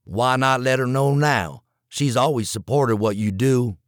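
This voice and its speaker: A man reading the part of a loyal young male friend, steady and plain-spoken. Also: medium pitch, measured pace, dry recording, clean studio sound, close to an everyday voice.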